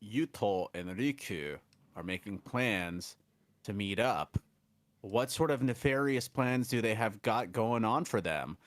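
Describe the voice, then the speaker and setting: Low-voiced man joking on a podcast. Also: expressive, takes it slowly, dry recording. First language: English. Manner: joking, self-deprecating learner. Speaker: podcast co-host and learner. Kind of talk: casual podcast chat.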